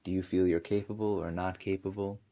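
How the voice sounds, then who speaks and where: A man playing a doctor in an ASMR roleplay, asking questions in a soft-spoken, unhurried, hesitant and reassuring way. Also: low voice, lo-fi sound. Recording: steady audible background noise.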